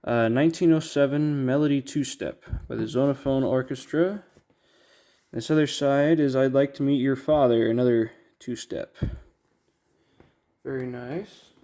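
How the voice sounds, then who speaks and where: Male collector-host, mid-pitched and mellow, off-the-cuff unboxing commentary, halting and thinking aloud. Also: steady tone. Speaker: record collector, video host.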